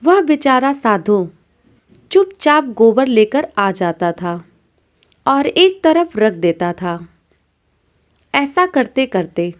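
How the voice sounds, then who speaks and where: ऊँची आवाज़ में धीरे कहानी सुनाती महिला. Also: female voice, a little high. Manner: didactic, addressing listeners as friends. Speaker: storyteller. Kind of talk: scripted moral story narration.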